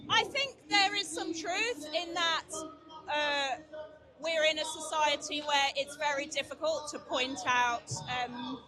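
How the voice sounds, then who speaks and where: A woman with a high voice answers as a protester in a street interview. She speaks earnestly and hesitantly, with a crowd audible behind her. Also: slow pace.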